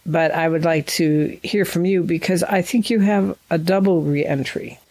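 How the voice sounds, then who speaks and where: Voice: mid-range voice, fairly even intonation, unhurried, darkish tone, no room sound. An interviewer who grew up in Nigeria, asking thoughtful, probing questions in a conversation.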